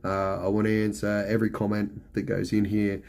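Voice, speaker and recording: Hoarse man chatting on a live stream. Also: on the low side, completely flat delivery, normal speed, a little mellow. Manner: rambling, full of ums and you-knows. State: hoarse, losing his voice. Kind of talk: casual live stream chat.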